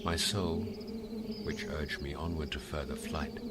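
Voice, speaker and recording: A man giving a solemn, dramatic recitation of epic verse with deliberate phrasing. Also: a bass voice, steady tone. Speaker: poetry reader. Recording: noisy, hissy background.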